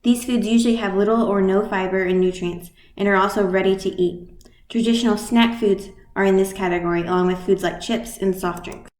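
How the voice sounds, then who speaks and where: A woman's voice: mid-range voice, steady tone, a little fast, darkish tone. Woman narrating in an echoey room. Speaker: student presenter. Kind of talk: scripted informational narration.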